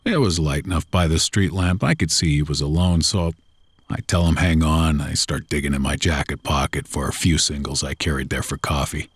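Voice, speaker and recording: A man tells a first-person horror story in a casual, folksy, unhurried, conversational way, like someone recounting his own memories. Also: rumbling low, slightly rough, no echo. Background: background music.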